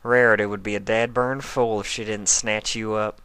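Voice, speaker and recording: A man's voice: lowish voice, level tone, normal speed, a bit bright, clear, resonant tone, no room sound, voice pushed up, lightly acted. A man voicing a kindly female farm pony from a cartoon story, folksy and earnest.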